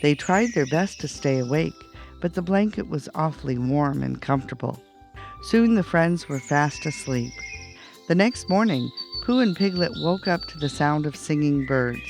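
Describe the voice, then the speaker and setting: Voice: mid-range voice, animated, moderate pace, vocal fry, darkish tone, faint music behind. A storyteller reading a children's bedtime story aloud as narrator.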